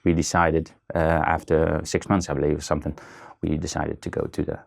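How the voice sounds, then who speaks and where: A man's voice: extremely low pitch, completely flat delivery, brisk, gravelly. A man in his thirties with a Dutch accent, speaking as an interview guest in a hesitant way, with many fillers and restarts. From Netherlands. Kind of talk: casual interview talk.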